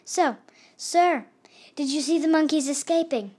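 A woman playing a brisk news reporter. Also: high voice, a little mellow, voice pushed up. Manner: announcer-style delivery.

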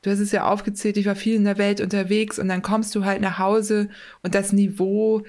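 A woman's voice: medium pitch, a little fast. Chatty woman telling her story. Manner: relaxed and talkative, many fillers and self-corrections. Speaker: former rugby player, mental coach. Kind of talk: casual interview chat.

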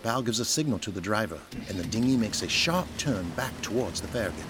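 A man's voice: on the low side, expressive, quick pace, noisy background. A man narrating an audio drama in a scripted, dramatic storytelling style. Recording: music behind the voice.

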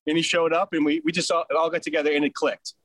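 A man's voice: on the high side, flat delivery, fast, fairly bright tone. Chatty man with a Boston accent. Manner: easygoing, chatty storyteller. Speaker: band singer and rhythm guitarist. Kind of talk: casual storytelling in an interview.

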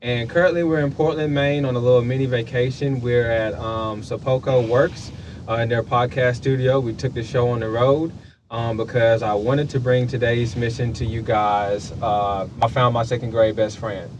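A man's voice: medium pitch, fairly even intonation, moderate pace, muffled recording, background noise. A man in his late twenties hosting a podcast in casual conversation. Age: late 20s. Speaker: podcast host. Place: podcast studio.

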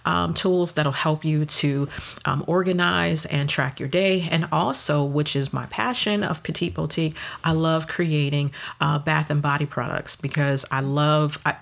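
A woman's voice: low voice, fairly even intonation, unhurried, vocal fry. A woman in her fifties from Detroit, a pastor and children's minister, chatting casually as an interview guest with lots of ums. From Detroit, USA. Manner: chatty, warm storytelling with many ums.

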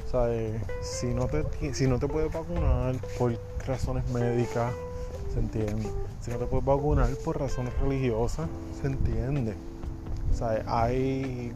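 A man hosting a casual solo podcast, talking bluntly and swearing freely, with frequent restarts. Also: mid-range voice. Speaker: podcast host. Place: United States.

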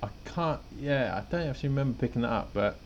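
A man's voice: medium pitch, lively intonation, fast talker, lo-fi sound. A man co-hosting a podcast in a laid-back, rambling casual chat, often chuckling. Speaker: podcast co-host.

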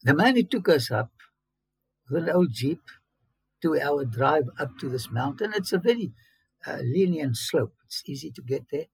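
Gravelly man telling a story. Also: fairly high pitch, expressive, no room sound, rough recording. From South Africa. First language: Afrikaans. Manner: earnest, halting storytelling with sudden emphatic bursts. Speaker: interview guest. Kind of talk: recounting a personal story.

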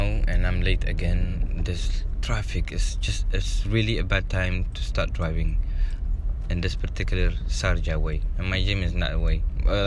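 A man's voice: on the low side, fairly bright tone, slight background noise. A man in his thirties from Eritrea, joking and rambling as he drives, often repeating himself. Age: 30s. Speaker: vlogger. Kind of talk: casual rambling monologue while driving. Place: in a car in traffic.

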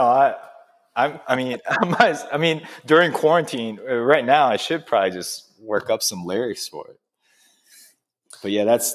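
A chef in his thirties chatting casually over drinks, rambling with lots of fillers and laughing often. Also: medium pitch. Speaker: chef and restaurant owner. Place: in a restaurant.

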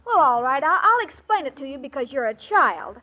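Ditzy young gal, super high and earnest, old muffled radio comedy recording. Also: female voice, very animated, fairly quick. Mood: earnest, muddled. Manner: naive, earnest, muddled. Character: sweet, dim-witted, illogical young woman, scatterbrained comedy heroine. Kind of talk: 1940s radio comedy acting. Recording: dull old radio recording, audience, faint noise.

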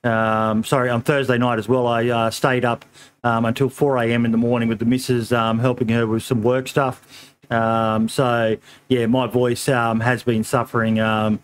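A middle-aged man hosting a casual podcast, his voice a little hoarse, easygoing and full of ums and "mate". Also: mid-range voice, little pitch movement, moderate pace. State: slightly hoarse. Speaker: podcast host.

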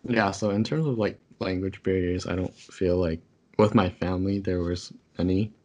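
A man's voice: deep voice, moderate pace, a little mellow. A young man, a podcast co-host whose first language is Taishanese, chatting casually with lots of fillers and self-corrections.

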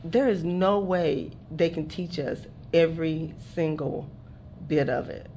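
A lower-pitched woman leads a relaxed radio conversation, laughing as she asks her guests questions. She draws out her phrases, and there is noticeable hiss behind her voice. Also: measured pace. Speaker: radio show host. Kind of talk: casual studio chat. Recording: noisy studio recording.

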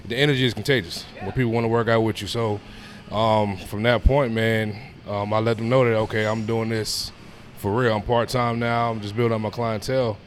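Low-voiced man in his thirties, noisy background. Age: 30s. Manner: informal, peppers talk with "man" and "you know". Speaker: personal trainer interviewee. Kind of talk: casual interview conversation. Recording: clear steady background noise.

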